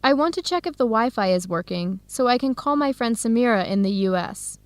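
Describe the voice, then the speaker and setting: An actress as a curious, earnest young traveler in an educational radio drama. Her woman's voice sits a little high, recorded close and dry. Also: expressive, brisk, dry recording, close to an everyday voice. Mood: friendly, practical. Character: Helen, curious, earnest young American woman visiting Ethiopia. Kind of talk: radio drama acting for English learners.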